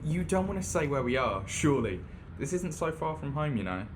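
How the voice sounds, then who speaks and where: A man playing an excitable, cheeky young ghost hunter in an audio drama, chatty, eager and teasing. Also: fairly high pitch, fairly quick, background noise. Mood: casual. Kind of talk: naturalistic audio drama acting.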